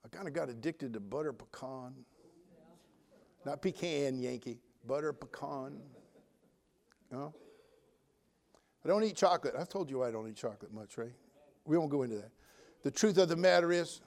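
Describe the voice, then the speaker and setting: High-pitched preacher in his seventies. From United States. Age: 70s. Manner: folksy, emphatic, swinging from loud exhortation to quiet joking asides. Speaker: Baptist preacher. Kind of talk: sermon.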